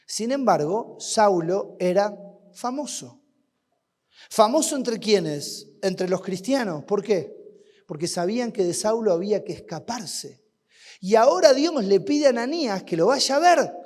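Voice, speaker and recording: A man with an Argentine accent preaching a sermon at a youth camp, asking rhetorical questions and swinging between loud and hushed. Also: sits high, animated, unhurried. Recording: long hall reverb.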